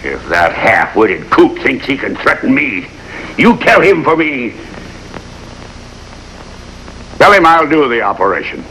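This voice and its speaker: Stubborn old retired doctor, 50s tv drama, brusque and tense sounding, bit of hiss. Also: male voice, medium pitch, very animated, normal speed, sharp tone, low-quality recording. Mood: indignant. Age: older. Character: proud, stubborn old retired male surgeon. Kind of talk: 1950s television drama acting. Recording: hissy vintage television soundtrack.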